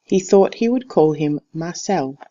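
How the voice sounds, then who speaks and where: Teacher, storybook narration, expressive, deliberate, mid-range voice, slightly dull lo-fi recording. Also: medium pitch. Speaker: teacher reading to a class. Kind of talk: expressive picture-book read-aloud narration.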